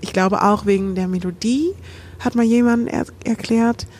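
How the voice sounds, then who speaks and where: A woman's voice: medium pitch, fairly even intonation, moderate pace, a little mellow. A woman from the Netherlands with a Dutch accent, an actress chatting as a podcast guest, speaking thoughtfully with a rounded, melodic phrasing. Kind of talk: casual podcast chat.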